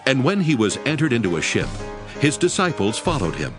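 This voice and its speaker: Expressive male scripture narrator over music. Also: medium pitch, fairly quick. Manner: formal, solemn storytelling. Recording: music behind the voice.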